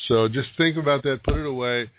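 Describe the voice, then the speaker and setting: A man's voice: mid-range voice, fairly quick, no room sound. A man working as a psychic medium on a call-in radio show, talking loosely with restarts and little jokes. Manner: rambling, restarts, jokey. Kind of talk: casual radio talk and readings. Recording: dry, phone-like radio audio.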